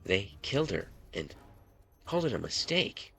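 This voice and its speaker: A man's voice: on the low side, sing-song, normal speed, creaky, fairly bright tone, husky, faint music behind, voice pushed up, exaggerated voice. A man voicing an earnest, troubled and determined male investigator in a story. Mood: grave. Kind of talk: story character dialogue.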